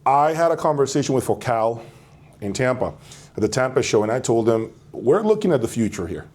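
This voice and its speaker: A man's voice: medium pitch, sing-song, a little fast, darkish tone. A man hosting a casual product presentation, talking directly to viewers. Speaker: host of an audio review channel.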